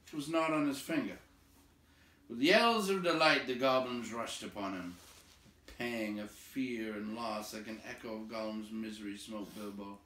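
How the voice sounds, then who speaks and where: Bright-voiced man narrating dramatically. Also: fairly low pitch, normal speed. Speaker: storybook reader. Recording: roomy, distant, faint background noise.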